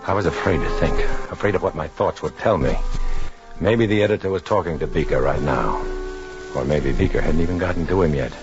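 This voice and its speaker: An actor playing a guarded undercover agent in a 1950s radio drama, terse and hardboiled in narration and dialogue. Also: male voice, deep voice, a little fast. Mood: tense, brooding. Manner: terse, clipped, hardboiled delivery. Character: undercover agent posing as magazine reporter, guarded, urgent man. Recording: muffled 1950s radio recording, music behind.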